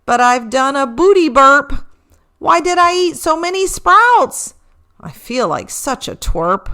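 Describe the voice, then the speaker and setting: A woman's voice: high-pitched, big pitch swings, slow, sharp tone, voice pushed up, full character voice. A woman voicing an embarrassed, apologetic young male reindeer in a storybook, with a sheepish, exclaiming delivery.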